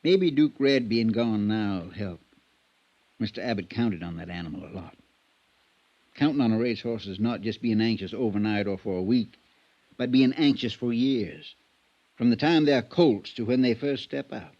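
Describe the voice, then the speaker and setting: A man's voice: lowish voice, moderate pace. A man playing a loyal, worried stable hand in a 1950s radio drama, speaking in a folksy, hesitant, respectful way. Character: deferential, plain-spoken male stable hand, loyal and worried. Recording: dull, muffled vintage radio recording.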